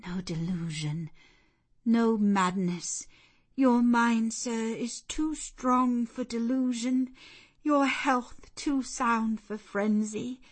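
A reader plays a devoted young woman from a classic novel. She is steadfast and tender, speaking slowly and earnestly to comfort the one she loves. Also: fairly high pitch, steady tone, pitched above the performer's own voice. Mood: reassuring.

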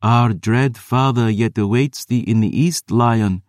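A man's voice: mid-range voice, unhurried, no echo, clean studio sound, pitched above the performer's own voice, lightly acted. Man putting on ancient scornful vampire sisters. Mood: menacing. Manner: archaic, haughty speech. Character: twin ancient female vampire sisters, archaic, contemptuous.

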